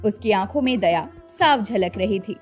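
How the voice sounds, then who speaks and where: A woman narrating a scripted children's cartoon story in a slow, expressive way. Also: mid-range voice, soft background music.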